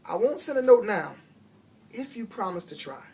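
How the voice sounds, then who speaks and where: A man voicing a firm but caring woman schoolteacher in a storybook, emphatic and coaxing, with a husky voice. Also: high-pitched, sing-song, normal speed, dark tone, a little hiss or hum, pitched above the performer's own voice, heavily acted.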